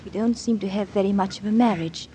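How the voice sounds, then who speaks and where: An actress playing a neglected, quietly hurt wife in an old radio drama, restrained and gently probing. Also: female voice, medium pitch, fast, natural voice. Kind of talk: mid-century radio drama acting. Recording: old radio recording, faint noise.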